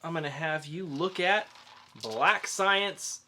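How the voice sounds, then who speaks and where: A man's voice: fairly high pitch, slow, pushed and bright, slight background noise. A man hosting a podcast, chatting casually as if reviewing for friends. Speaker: podcast host. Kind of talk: casual comic book review chat.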